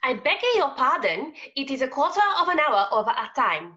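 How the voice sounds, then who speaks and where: A woman's voice: sits high, sing-song, brisk, bright, forceful tone, full character voice. A woman playing a polite but insistent hotel servant in a staged reading of a Victorian farce. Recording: dull remote call audio.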